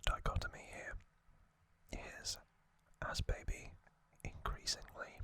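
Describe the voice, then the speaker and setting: A man whispering ASMR commentary as the host of a trivia show, speaking in short, measured phrases. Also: sharp tone, dry recording.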